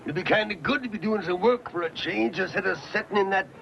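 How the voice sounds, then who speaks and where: A man's voice: sits high, fast talker, gravelly. A man playing a helpful, easygoing pioneer with a neighborly, rustic manner in a western radio drama. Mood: willing. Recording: dull lo-fi radio recording, faint noise.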